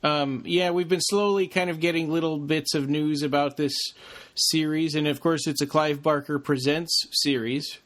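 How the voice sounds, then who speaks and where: A man with a moderately high voice chats as a podcast co-host. His talk is casual and a little halting, with pauses to find the next word. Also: fairly even intonation, fairly bright tone.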